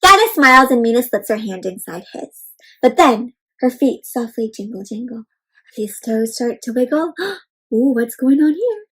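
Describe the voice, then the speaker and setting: High-voiced woman reading to children. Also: normal speed, breathy edge, poor audio. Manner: animated, expressive, addressing children directly with tag questions. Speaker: children's read-aloud host. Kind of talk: interactive picture-book read-aloud for young children.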